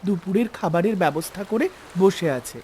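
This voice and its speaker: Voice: medium pitch, brisk, a little hiss or hum, close to an everyday voice. A voice actor playing a young boy in a cartoon, a caring older brother who speaks affectionately with mild scolding. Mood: gently refusing. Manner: affectionate, mildly scolding, expressive. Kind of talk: melodramatic cartoon acting.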